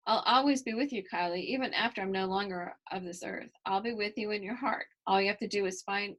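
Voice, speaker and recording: Woman reading as a gentle, loving grandpa. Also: medium pitch, fairly quick, lightly acted. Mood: tender. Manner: tender, reassuring. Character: gentle, wise, loving grandfather in children's storybook.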